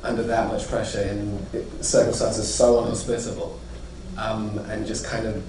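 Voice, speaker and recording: Low-voiced man from Zimbabwe, echoey room. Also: flat delivery, moderate pace. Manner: reflective, rambling with fillers. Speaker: actor being interviewed. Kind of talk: press junket interview. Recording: echoey room, distant mic, noisy.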